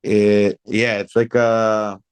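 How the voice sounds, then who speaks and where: A man in his thirties from Hawaii, answering interview questions in a thoughtful, measured way with many pauses. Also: fairly low pitch, level tone, clean tone, no room sound. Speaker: guest, reggae singer. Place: outdoors by a pool among high-rise buildings.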